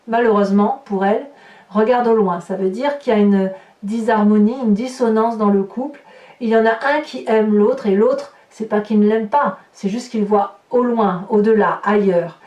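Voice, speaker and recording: A woman's voice: medium pitch, normal speed, warm tone. A woman giving an improvised tarot reading to viewers, emphatic, repeating and building on her phrases. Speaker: tarot reader, horoscope host. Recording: roomy echo, distant microphone.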